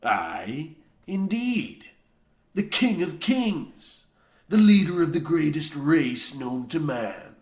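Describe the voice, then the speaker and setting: Slow, crazed old mine foreman. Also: a little high, sing-song, darkish tone, exaggerated voice. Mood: fanatical fervor. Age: older. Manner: theatrical, archaic pirate-like rustic speech, preachy. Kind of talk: parody of old radio horror acting. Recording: roomy echo, faint noise.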